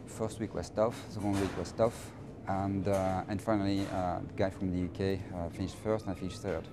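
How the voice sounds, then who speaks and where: Deep-voiced middle-aged Frenchman, noisy recording. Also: level tone. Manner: emphatic, halting, repeats phrases. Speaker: interviewee, finance professional. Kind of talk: conversational interview answers.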